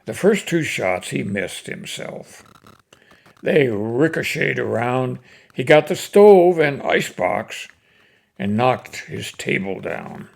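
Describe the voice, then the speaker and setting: Casting: older male poetry reader, folksy and unhurried, slow delivery, gravelly fry, mid-range pitch. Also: sing-song. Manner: folksy, unhurried, with pauses between phrases. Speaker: host reading cowboy poetry. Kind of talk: casual introduction and expressive poem recitation.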